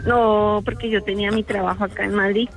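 A woman's voice: mid-range voice, flat delivery, a little fast. A woman from Colombia, calling in to a radio show and chatting about her travels. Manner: chatty, storytelling. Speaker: radio caller. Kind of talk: phone-in chat.

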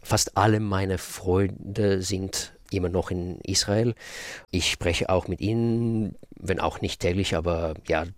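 A man's voice: deep voice, fairly even intonation, slow, no room sound, studio quality. A man from Russia, a pianist answering questions in a radio interview, thoughtful and searching for words. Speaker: guest pianist.

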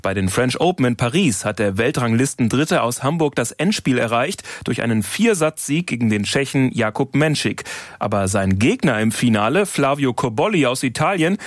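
A man presenting radio news and sports in a crisp, formal way. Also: mid-range voice, sing-song, moderate pace, no room sound, clean studio sound.

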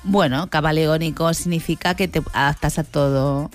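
A woman from giving calm, measured advice to actors as a casting co-host on a talk show. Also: low voice, faint music behind. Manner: measured, advising. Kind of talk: casual chat giving feedback.

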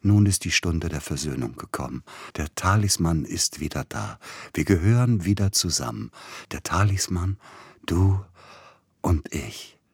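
A man's voice: sits low, animated, measured pace, husky, no echo, studio quality, exaggerated voice. A man reading the part of a dying composer in a fever, agitated and exclamatory, swinging between accusation and tenderness, in an audiobook. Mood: tearful, tender.

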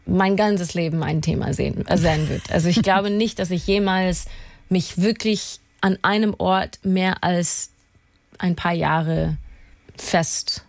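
A woman's voice: fairly low pitch, slow pace, vocal fry, darkish tone, dry recording. Chatty woman with a Canadian accent. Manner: chatty, laughs often, slips English words in. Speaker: guest singer-songwriter. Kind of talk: radio interview, casual chat. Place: radio studio.

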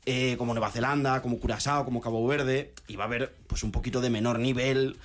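Male co-host, brisk and chatty. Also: medium pitch, steady tone. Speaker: radio co-host. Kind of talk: casual chat.

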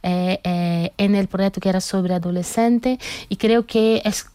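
A woman's voice: mid-range voice, steady tone, unhurried, dark tone, dry recording. A woman from Brazil with a Brazilian accent, a guest in a radio interview, talking reflectively with many fillers and tag questions. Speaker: guest artist.

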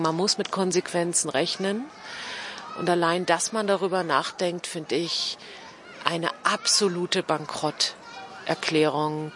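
A woman's voice: sits low, a bit bright, slight background noise. A middle-aged woman from Berlin giving earnest, reflective interview answers, repeating words for emphasis. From Berlin, Germany. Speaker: interview guest.

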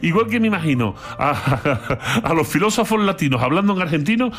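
A man from Andalusia with an Andalusian accent, explaining philosophy in a lively talk with playful, theatrical asides. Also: fairly high pitch, brisk. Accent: Andalusian Spanish. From Andalusia, Spain. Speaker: philosophy commentator.

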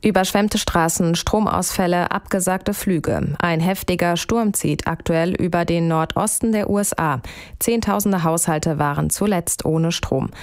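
Low-voiced female radio newsreader. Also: a little slow, no room sound, clean studio sound. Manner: crisp, formal reading. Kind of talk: radio news bulletin. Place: radio studio.

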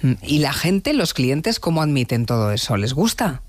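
A male host with a fairly high voice and very animated intonation. He interviews in a casual, bantering, inquisitive way. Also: moderate pace.

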